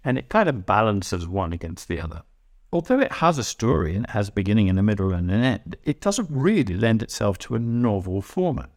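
Man in his sixties, thoughtful and halting. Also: fairly low pitch, sing-song, darkish tone, no echo, studio quality. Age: 60s. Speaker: writer and voice actor. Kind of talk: casual reflective interview talk. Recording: music behind the voice. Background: plucked guitar music.

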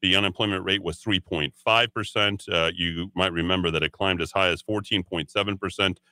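A man's voice: low voice, fairly even intonation, a little fast, sharp tone, smooth, no room sound. A man hosting a talk radio show, opinionated, reading items aloud and mocking them, taking calls. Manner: opinionated, reads quotes aloud and mocks them. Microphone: studio broadcast mic.

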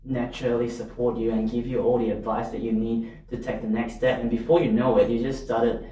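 Male guest, Malaysian accent, mid-pitched, conversational storyteller; distant, reverberant recording with faint noise. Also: dark tone. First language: not English, learned it as a third language. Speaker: podcast guest, volunteer advocate. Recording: very echoey room, off mic, faint noise.

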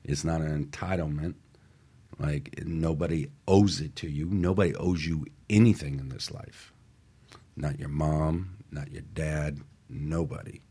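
A man's voice: extremely low pitch, fairly even intonation, unhurried, dark tone, husky. A man talking in a casual motivational style as a radio co-host, making emphatic points in short repeated phrases.

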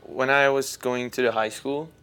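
A young man with a Czech accent, a native Czech speaker, chatting as a podcast guest and talking haltingly with many fillers. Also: mid-range voice, steady tone, pushed and bright, smooth, poor audio. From Czech Republic. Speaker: guest hockey player. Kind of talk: casual podcast chat.

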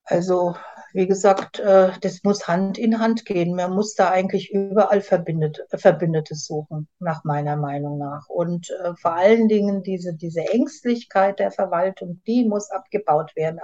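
A woman answering an interview question haltingly, with many fillers. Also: mid-range voice, a little mellow. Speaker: interview guest. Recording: dull lo-fi sound.

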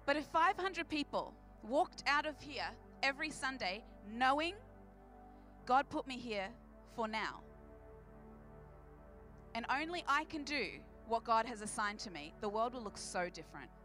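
Forceful high-voiced Australian woman preacher. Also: animated, measured pace, faint music behind. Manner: emphatic, repeating phrases for effect; big, projecting voice. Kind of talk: sermon to a church congregation. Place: church service.

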